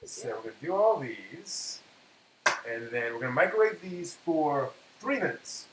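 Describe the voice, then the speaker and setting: A man talks viewers through a recipe in a casual, chatty way, his pitch moving around in a lively fashion as he works. The kitchen sounds echoey, with clear noise behind him. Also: unhurried. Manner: chatty, explains step by step while working. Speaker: cooking live-stream host. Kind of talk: casual cooking demonstration. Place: indoor home kitchen.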